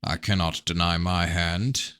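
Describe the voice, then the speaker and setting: A calculating fantasy prince, played by a man reading a novel aloud, evasive and unrepentant. He speaks formally and carefully in a fairly low voice, with a dry, close sound. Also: level tone, a little slow, a bit bright, clear, resonant tone, no echo. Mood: guarded, probing.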